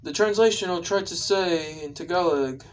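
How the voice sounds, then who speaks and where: A man casually explaining his video to viewers, with halting, repetitive phrasing. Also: high-pitched, recorded from a distance. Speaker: video creator.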